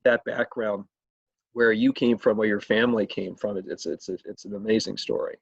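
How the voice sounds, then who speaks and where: A man conducting a conversational interview as host, speaking haltingly and often repeating his words. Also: fairly low pitch, steady tone, creaky, husky. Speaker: state legislator hosting an interview. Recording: roomy, echoey, muffled video call sound.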